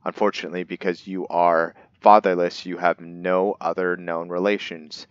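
Male gaming host, low-pitched voice, casual playthrough narration, slightly dull lo-fi mic. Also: little pitch movement, normal speed, clear, resonant tone, no echo. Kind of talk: casual game commentary.

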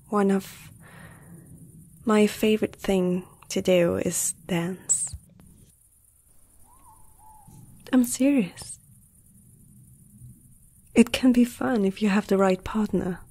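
A woman acts out a gentle, flirtatious vampire lady of noble blood in an ASMR roleplay. Her delivery is slow and intimate, with a mellow, slightly husky softness that often slips toward a whisper. She teases tenderly. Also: mid-range voice, exaggerated voice. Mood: playful. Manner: soft, intimate, teasing, often dropping to a whisper.

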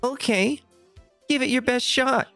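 A woman's voice: sits high, unhurried, a bit bright, dry recording, faint music behind. A woman playing a cocky young boy, an older brother, in an audio drama. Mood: teasing.

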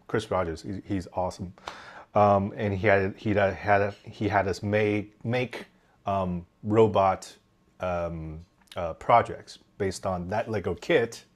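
Guest from Taiwan, forties, lowish voice; lively storyteller who restarts mid-sentence; headset mic on a video call. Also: male voice, unhurried. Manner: animated, gestures while talking, frequent restarts. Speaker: podcast guest, engineer. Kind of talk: casual interview storytelling. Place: home room indoors.

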